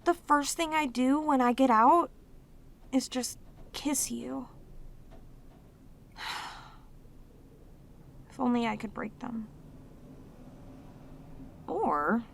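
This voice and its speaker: A woman voicing a lonely, clingy, sweet female demon in an ASMR audio roleplay, with an earnest, halting, pleading manner. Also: high-pitched, creaky, a bit bright, a little hiss or hum, exaggerated voice. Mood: yearning.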